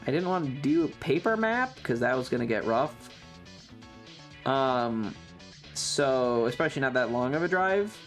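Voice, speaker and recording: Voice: mid-range voice, animated, measured pace, soft background music. A livestream host chatting casually with viewers in a rambling, loose, casually profane way. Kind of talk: casual chat with stream viewers.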